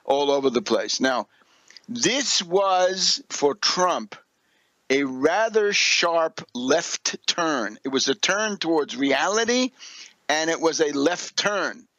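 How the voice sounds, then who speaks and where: A man's voice: fairly high pitch, expressive, slow, sharp tone, dry recording. A man giving an unscripted political commentary as a radio host, scornful and sardonic, hammering points home with repetition and rhetorical questions.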